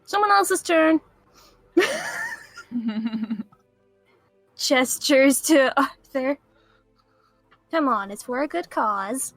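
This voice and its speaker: A woman's voice: high-pitched, wildly swooping pitch, very slow, pauses often, no echo, soft background music, rough recording. A woman playing in a tabletop role-playing game, chatty and playful, laughing often. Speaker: player.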